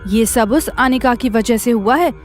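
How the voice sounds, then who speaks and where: A woman's voice: on the high side, unhurried, soft background music, natural voice. An actress playing a spiteful, gossiping woman of a wealthy family in an audio drama. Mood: spiteful, smug. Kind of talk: Hindi audio drama acting.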